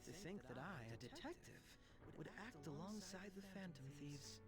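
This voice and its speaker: An actor playing a composed, analytical young male detective in a video game, speaking formally and deliberately. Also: mid-range voice, animated, natural voice. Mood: composed reflective. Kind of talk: dramatic video game voice acting. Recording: loud game music behind the voice. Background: loud game music.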